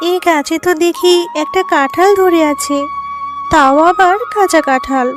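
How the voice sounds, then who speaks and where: A woman voicing a poor, gentle jackal mother in a children's cartoon, with an earnest, plaintive manner. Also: extremely high pitch, normal speed, music in the background. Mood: plaintive, wondering.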